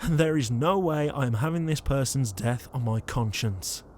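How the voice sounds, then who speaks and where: A man's voice: medium pitch, moderate pace, a little mellow, slight background noise, lightly acted. A man playing an exasperated, conscientious ghost in a comedic audio roleplay, flustered, hesitant and pleading. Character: exasperated, conscientious male ghost, murdered shut-in.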